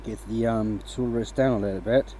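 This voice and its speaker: A man's voice: lowish voice, moderate pace, slight background noise. A man from Denmark with a light, mixed accent hosts a woodturning live stream and talks casually through each step as he works. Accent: light Danish, mostly a mix of English accents picked up online. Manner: relaxed, explains as he works, asks "right?" often. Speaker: woodturning livestream host. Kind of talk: casual live-stream talk while working.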